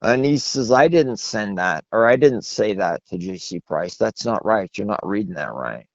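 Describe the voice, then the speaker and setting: Male interview guest, low voice, long-winded storyteller with a self-deprecating streak, dull dry phone audio. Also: expressive, normal speed, creaky, no echo. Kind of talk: rambling phone interview conversation. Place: phone call. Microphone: telephone. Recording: muffled low-fidelity phone line.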